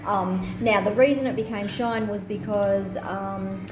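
A woman's voice: mid-range voice, steady tone, unhurried. A woman speaking informally as a guest poet in a live interview, thinking aloud as she tells her story. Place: live in a taproom bar. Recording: echoey, noisy live venue recording.

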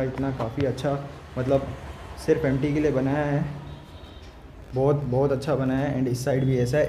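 A man, vlogging casually and informally, repeating himself and mixing in English words. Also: medium pitch. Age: 20s. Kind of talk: casual product review to camera. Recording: echoey room with clear background noise.

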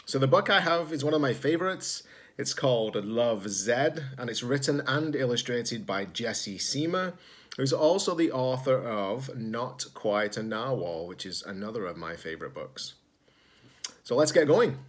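Slightly husky middle aged guy doing a kids storybook read aloud, really lively up and down delivery. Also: mid-range voice. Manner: expressive, pausing to ask listeners questions. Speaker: teacher-librarian. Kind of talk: children's picture book read-aloud. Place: indoors, room at home with sloped ceiling.

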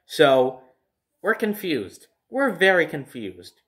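A man's voice: a little high, big pitch swings, takes it slowly, a bit bright. A young man telling a personal story casually to the camera. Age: young adult. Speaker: storyteller, vlogger. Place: living room at home, by a window.

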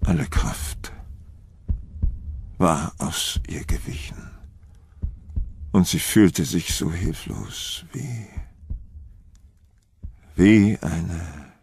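Slow, low-voiced male horror narrator. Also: expressive, vocal fry, a little mellow, breathy edge. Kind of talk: scripted horror story narration.

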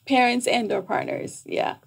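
Female podcast guest, middle-aged, gravelly fry and husky edge, explanatory and animated, close studio mic. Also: on the high side, normal speed. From Jamaica, raised in New York. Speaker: guest, psychotherapist. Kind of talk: conversational podcast interview. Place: small foam-treated podcast studio. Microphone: close boom-arm studio microphone.

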